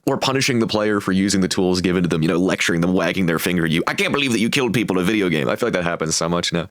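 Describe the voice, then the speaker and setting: A man's voice: low voice, no room sound, studio quality. A man delivering scripted opinion narration for a video essay in a sardonic, wordy manner.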